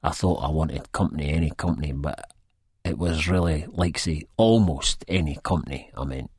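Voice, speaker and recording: An actor playing a nervous, rambling, good-hearted Edinburgh drug-user with a husky Scottish voice, narrating an audiobook in dialect. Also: male voice, very deep voice, normal speed, a little mellow, no room sound, clean studio sound, heavily acted. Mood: downcast, rambling. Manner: rambling, colloquial, self-deprecating.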